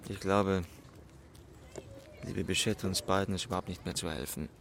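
A man's voice: low voice, fairly even intonation, moderate pace, background noise. A man acting a brooding, needling swindler in love, with an intense, probing manner, in a German radio play.

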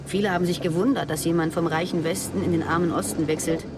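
A woman's voice: lowish voice, fairly even intonation, fast talker, natural voice. A woman playing an anxious, probing agent on the run in a radio drama, with a direct, questioning manner. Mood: probing, frank. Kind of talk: naturalistic German radio drama dialogue. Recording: noisy, outdoor car sounds. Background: vehicle and street ambience.

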